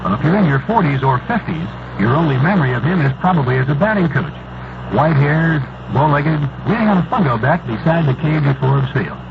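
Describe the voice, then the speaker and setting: A man reading a scripted, nostalgic radio commercial in a measured storytelling manner. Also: fairly high pitch, animated, vocal fry. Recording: noisy, muffled 1970s AM radio broadcast.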